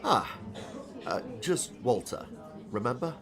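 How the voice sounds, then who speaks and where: Man playing a lively, genial older novelist. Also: medium pitch, slow pace, vocal fry, crowd noise, heavily acted. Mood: genial, polite. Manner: polite, quaint, chatty. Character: genial, eccentric older English male novelist. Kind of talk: audiobook character dialogue.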